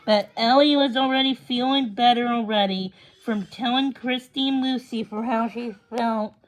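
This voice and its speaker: A woman with a fairly high-pitched voice reads a children's story aloud. She narrates as in a read-along, now and then tripping on a word and going back over it. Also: moderate pace, low-quality recording. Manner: stumbles over words and rereads them. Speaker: storybook reader.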